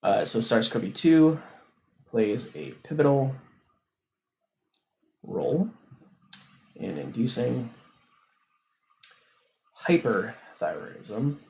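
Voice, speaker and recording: A man hosting a science livestream, casually explaining a research paper as he reads, in a halting, informal way. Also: medium pitch, very animated, vocal fry, a little mellow. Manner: halting, informal, thinking aloud. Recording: noticeable background noise on livestream.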